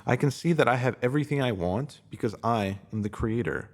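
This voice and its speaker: A man reading a spiritual essay aloud in a calm, measured, reflective way. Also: mid-range voice. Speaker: reader.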